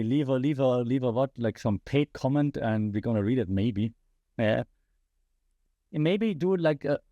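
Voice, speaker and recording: A man's voice: fairly high pitch, mellow, dry recording. A man with a German accent hosting a podcast, giving passionate solo commentary and putting rhetorical questions to his listeners. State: slightly losing his voice. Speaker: podcast host.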